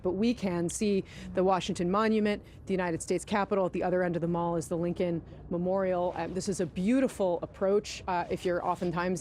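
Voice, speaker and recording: A woman with a fairly low voice anchors breaking news live from outdoors. She speaks conversationally as a reporter on the scene, with light ambient rumble of vehicles and helicopters behind her. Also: dark tone. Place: outdoors by a river in the city, helicopters overhead.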